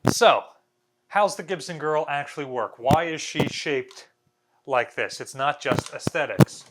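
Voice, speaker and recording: A man's voice: on the high side, a little slow, heavy background noise. An American man in his thirties giving an informal explainer to camera as a host, casual in manner with wry, dry humour. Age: 30s. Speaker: museum video host. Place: small bare indoor room.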